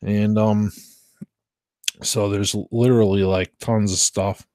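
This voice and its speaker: Low-voiced American man chatting casually. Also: flat delivery, takes it slowly, dark tone, no room sound, studio quality. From United States. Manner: hesitant, informal. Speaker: livestream host. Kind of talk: casual rambling chat with listeners.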